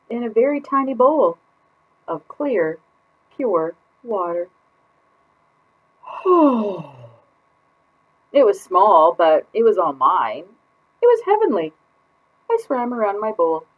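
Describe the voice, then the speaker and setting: Lady doing a dramatic fed up storybook goldfish, big swoopy expressive delivery, slightly muffled lo-fi recording. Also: medium pitch, slightly rough, heavily acted. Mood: relieved. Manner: exaggerated, exasperated, drawn-out emphasis. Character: dramatic, put-upon pet goldfish keeping a diary. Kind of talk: expressive children's picture-book read-aloud. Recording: dull lo-fi sound.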